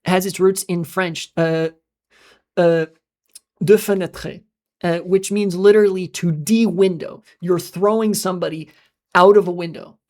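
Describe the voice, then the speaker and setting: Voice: medium pitch, steady tone, unhurried, darkish tone. An American live streamer in a casual, rambling monologue who swears often, trails off into filler sounds and answers chat messages. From United States.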